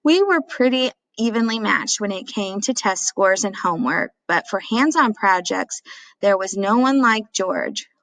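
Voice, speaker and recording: A woman's voice: medium pitch, expressive, measured pace, a bit bright, poor audio. A woman voicing a chatty, self-mocking dad who tells a childhood story dramatically in a storybook read-aloud. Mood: eager, boastful.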